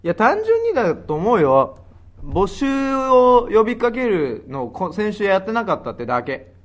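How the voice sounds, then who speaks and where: A man's voice: sits high, wildly swooping pitch, moderate pace. A man co-hosting a comedy radio show, bantering casually in a blunt, grumbling, teasing way.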